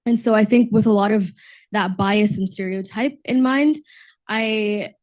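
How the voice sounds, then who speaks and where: A woman's voice: medium pitch, measured pace, a little mellow. A woman in her twenties from Texas, a junior diplomat on a panel, sounding slightly sick from a cold and telling her story earnestly with frequent fillers. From Texas, United States. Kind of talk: panel discussion.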